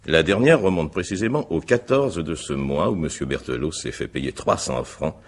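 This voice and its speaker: A very deep-voiced examining magistrate in a radio mystery. He is husky and gravelly, a courteous man who probes methodically with formal, measured, hesitant questions. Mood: probing, formal. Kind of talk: French radio mystery drama acting.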